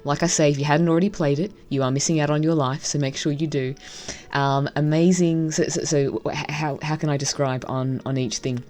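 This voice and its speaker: A woman's voice: deep voice, steady tone, fairly quick, darkish tone. A woman giving casual, enthusiastic first-impressions commentary on a game as she plays. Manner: gushing, enthusiastic. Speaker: game streamer reviewing a visual novel.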